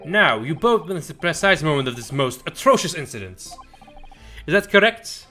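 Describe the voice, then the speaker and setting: A man voicing a formal, commanding judge in a video game, giving measured orders to the court. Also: on the high side, very animated, bright, forceful tone, faint music behind.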